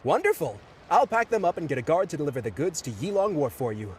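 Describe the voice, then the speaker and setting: An actor playing a busy, polite male office clerk in a video game, speaking in a businesslike, slightly hurried way. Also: on the high side, very animated, slight background noise.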